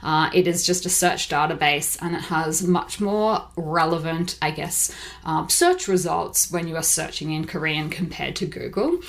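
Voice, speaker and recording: A woman with a slightly low-pitched voice hosts a podcast. She talks in a chatty, upbeat way, with a few ums and uhs along the way. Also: expressive, a little slow. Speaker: podcast host. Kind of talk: casual podcast talk. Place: Seoul.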